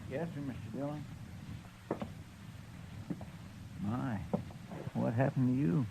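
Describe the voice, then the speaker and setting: Man playing a creaky, meek country deputy. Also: mid-range voice, normal speed, close to an everyday voice. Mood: meek, concerned. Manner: deferential, hesitant, folksy. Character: meek, devoted injured deputy, rustic man. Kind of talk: 1950s radio western acting. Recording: muffled, noisy 1950s radio recording.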